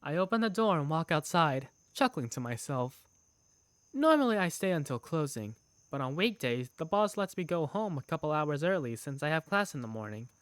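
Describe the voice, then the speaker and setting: A woman's voice: sits low, very animated, moderate pace, no echo. A woman playing an affectionate young working big sister, narrating her own story in a visual novel fan dub. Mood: weary, reflective. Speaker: narrator and protagonist. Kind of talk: first-person visual novel narration and dialogue.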